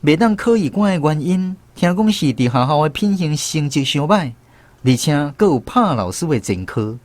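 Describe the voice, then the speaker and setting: An actor playing a former army conscript who narrates his memories in a radio drama, with a Taiwanese accent and an expressive storytelling manner. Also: fairly high pitch, normal speed, dry recording, natural voice. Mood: reflective storytelling.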